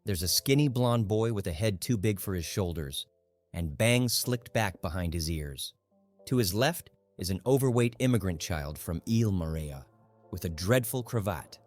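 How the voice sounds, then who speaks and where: Low-voiced guy reading a novel dramatically, music playing underneath. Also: expressive, normal speed. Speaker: audiobook narrator. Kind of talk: scripted dramatic literary narration.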